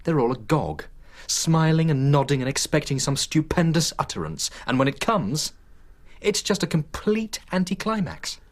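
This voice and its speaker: Husky, guarded young gentleman. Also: on the high side, big pitch swings, moderate pace. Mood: scornful, jealous. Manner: hesitant, guarded. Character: reserved young gentleman, jealous, evasive, privately scornful. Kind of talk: radio drama acting.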